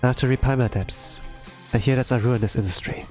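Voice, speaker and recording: A streamer of unclear sex reading a game story aloud in a dramatic, halting way, stumbling over words now and then. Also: mid-range voice, darkish tone. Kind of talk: dramatic readalong with put-on character voices. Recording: music behind the voice.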